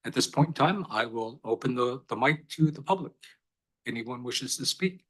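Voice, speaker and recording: A man serving as mayor, chairing a council meeting with brisk, formal procedure and a little light humor. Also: mid-range voice, expressive, husky. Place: council chamber.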